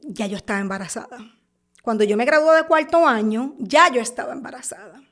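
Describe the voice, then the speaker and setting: A woman in her thirties speaks with a Puerto Rican accent in a high, light voice. She is a podcast guest giving her testimony, stumbling, restarting and repeating words as she goes. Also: animated, fairly bright tone. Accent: Puerto Rican Spanish. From Puerto Rico. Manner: halting, repeats and restarts words while recounting.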